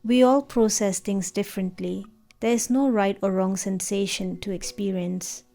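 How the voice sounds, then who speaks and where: A woman narrating a guided meditation in a gentle, soothing, unhurried manner. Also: medium pitch, steady tone, creaky. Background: background music.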